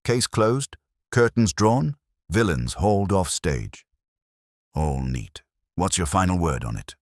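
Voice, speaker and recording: Male narrator as flippant male assistant sleuth, deep voice, wry, breezy, animated, detective audiobook. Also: slow, no echo, studio quality, full character voice.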